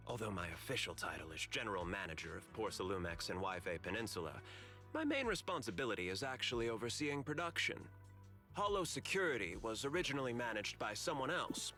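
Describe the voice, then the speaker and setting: A courteous, politically shrewd corporate manager from a video game. His delivery is measured and polite, yet the pitch moves expressively through each explanation. Music plays clearly behind him. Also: bright, forceful tone.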